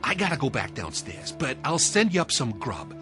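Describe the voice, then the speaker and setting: An American actor playing a loyal, worried diner owner in a noir audio drama, talking in a halting, folksy way. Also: male voice, medium pitch, big pitch swings, fairly bright tone, soft background music. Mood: concerned. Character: gruff-hearted male diner owner, loyal worried old friend.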